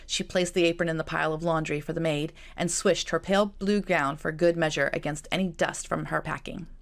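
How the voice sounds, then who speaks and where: A woman's voice: deep voice, fairly even intonation, fairly quick, gravelly. A woman reading her own novel as scripted audiobook narration.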